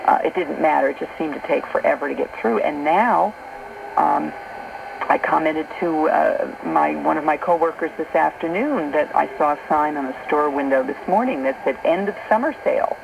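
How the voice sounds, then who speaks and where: A woman's voice: sits low, normal speed, vocal fry. A middle-aged American woman hosting a radio talk show, speaking in a chatty, informal, anecdotal way over a noisy shortwave recording. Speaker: radio host. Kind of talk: conversational late-night radio talk.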